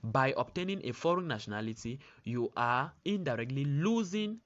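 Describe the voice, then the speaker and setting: Male Cameroonian teacher, mid-pitched, didactic lecture delivery that restates key points, muffled low-fidelity recording. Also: animated, normal speed. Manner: didactic, repeats and rephrases key phrases. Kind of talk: spoken lesson, explanatory lecture. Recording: dull, lo-fi sound.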